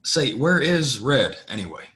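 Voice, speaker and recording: A man's voice: medium pitch, sing-song, measured pace, fairly bright tone. A man playing a polite, modest cowboy stranger in a western audio play, courteous and understated. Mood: easygoing.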